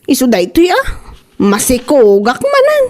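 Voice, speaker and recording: An actress playing a whiny, aggrieved young wife in a radio comedy drama, with an Ilocano accent. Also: female voice, sits high, huge pitch swings, measured pace, darkish tone, full character voice. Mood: tearful, complaining. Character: whiny, aggrieved pregnant wife confiding in a friend.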